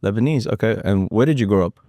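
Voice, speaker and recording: A man's voice: lowish voice, lively intonation, fast talker, warm tone, no echo, clean studio sound. A man hosting a business talk show, interviewing his guest in a friendly, jokey, upbeat way. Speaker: host. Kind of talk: casual interview.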